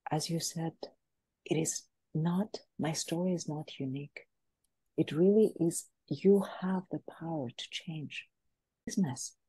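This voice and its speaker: A woman's voice: low-pitched, unhurried, dark tone, breathy edge, no room sound, poor audio. A woman who grew up in France, a business coach speaking as a podcast guest in an earnest, motivational way. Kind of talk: podcast interview talk. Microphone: podcast mic.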